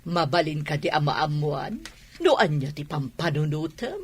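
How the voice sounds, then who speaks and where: A woman's voice: low voice, big pitch swings, a little slow, breathy edge, heavily acted. A woman with an Ilocano accent playing a jealous, suspicious, nagging wife in a radio drama, scolding and sarcastic.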